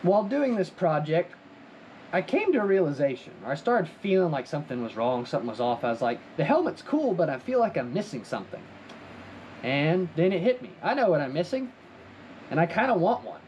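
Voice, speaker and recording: A man's voice: high voice, animated, fairly quick, darkish tone, slight background noise, rough recording. A man hosting a hobby prop-making video, explaining his build in a casual, chatty, enthusiastic way.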